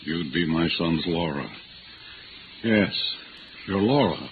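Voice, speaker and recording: On a noisy, narrow-band vintage radio recording, a gruff, tender-hearted old father. He speaks emphatically and hesitantly, repeating himself, with big swings in his delivery. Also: low voice, animated, slow, full character voice. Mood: tender, firm. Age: older. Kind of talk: 1950s radio drama acting.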